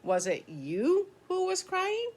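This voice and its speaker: Woman voicing a high-pitched, lonely little boy beaver. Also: wildly swooping pitch, slow, darkish tone, full character voice. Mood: earnest. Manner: plaintive, childlike, calling out. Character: lonely, earnest young male beaver searching for friends. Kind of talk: storybook character voice.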